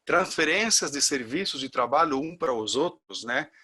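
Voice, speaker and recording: A man's voice: on the high side, lively intonation, normal speed, sharp tone, slightly rough. A middle-aged man from Chile with a Chilean Spanish accent, Spanish his first language, teaching a class in Portuguese with many fillers and restarts. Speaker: course instructor. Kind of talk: lecture to a class.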